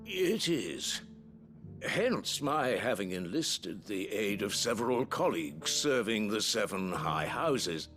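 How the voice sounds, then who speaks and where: An actor playing a formal, deferential chief steward of a noble estate in a fantasy game. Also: male voice, fairly low pitch, very animated, fairly bright tone, faint music behind, heavily acted. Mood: grave. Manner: formal, courtly and deliberate. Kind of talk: scripted fantasy game dialogue.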